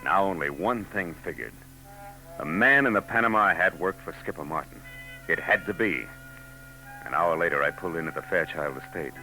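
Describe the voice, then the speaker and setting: A hard-boiled American gumshoe with a very low voice, telling his story in dry, clipped slang. It is a 1940s radio noir drama, on an old recording with music behind the voice. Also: expressive, normal speed, poor audio. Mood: wry, brisk. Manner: terse, wry, slangy. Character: hard-boiled wry male private detective narrator. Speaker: private detective narrator.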